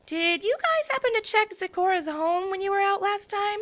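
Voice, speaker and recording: A woman voicing a high, earnest young pony scholar. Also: expressive, moderate pace, fairly bright tone. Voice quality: earnest, slightly scolding. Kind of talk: fan dramatic reading.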